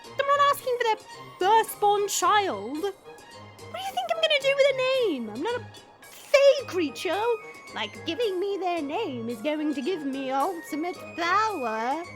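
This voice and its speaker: A woman's voice: very high-pitched, sing-song, measured pace, over music, full character voice. A woman playing a smirking, sarcastic monster woman who chats and mocks in an audio drama. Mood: sarcastic, amused. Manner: sarcastic, mocking, chatty. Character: smirking, teasing monster woman, short and muscular.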